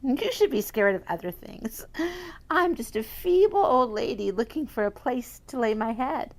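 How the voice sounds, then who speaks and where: A woman's voice: a little high, lively intonation, normal speed. Woman playing a feeble, ominous old lady. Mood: ominous warning. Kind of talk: radio mystery drama acting.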